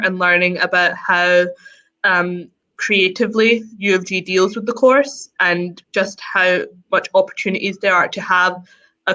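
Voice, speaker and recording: A young woman answers Q&A questions in a bright, forward voice. She sounds eager and chatty and peppers her talk with like. The audio is dull and lo-fi, like an online call. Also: fairly low pitch. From Glasgow, Scotland. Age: young adult. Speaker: undergraduate student panelist. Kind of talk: casual Q&A answers.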